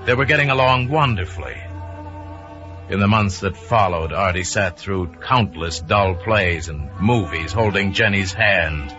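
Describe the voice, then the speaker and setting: A man's voice: sits low, a little slow, pushed and bright. A man narrating a suspense story in a dramatic, scripted radio style. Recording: muffled vintage radio recording with music. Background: music.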